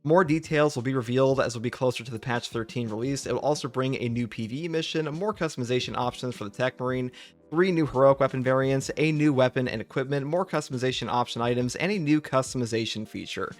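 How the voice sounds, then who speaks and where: A man's voice: medium pitch, quick pace, faint music behind. A man hosting a gaming channel, casually commenting and reading out update notes.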